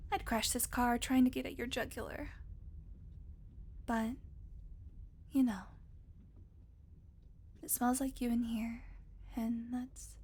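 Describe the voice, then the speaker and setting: A woman acting as a wounded vampire woman in an audio roleplay. Her voice is fairly high and unhurried, often slipping into breathy asides. She is sardonic and teasing, though tired and weak. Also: darkish tone. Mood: exhausted, softening. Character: weakened captive female vampire, wry, teasing, wounded.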